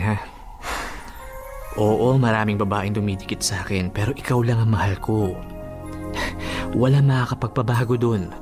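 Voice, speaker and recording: A man's voice: on the low side, natural voice. An actor playing a sweet-talking young boyfriend in a radio drama, coaxing and pleading his case affectionately. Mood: tender, reassuring.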